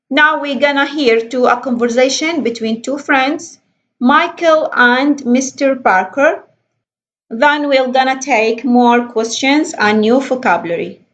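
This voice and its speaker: A woman teaching an English lesson, explaining slowly and deliberately and reading questions aloud. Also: high voice, a bit bright. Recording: dull lo-fi voice recording.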